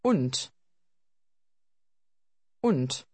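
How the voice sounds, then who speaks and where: A woman slowly modeling phrases for learners to repeat in a course recording. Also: extremely low pitch, big pitch swings, darkish tone, breathy edge, no room sound. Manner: slow, carefully articulated. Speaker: native model speaker. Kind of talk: slow drill repetition. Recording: dry studio.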